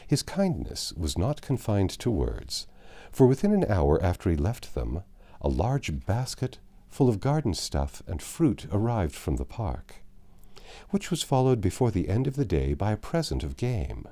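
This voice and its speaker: Mellow, expressive male audiobook narrator. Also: sits low, unhurried.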